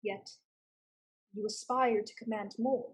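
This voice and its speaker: A woman acting an earnest, probing survivor who keeps a pirate company in a staged play reading, her voice husky and breathy. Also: medium pitch, steady tone, measured pace, darkish tone. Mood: gentle, yearning.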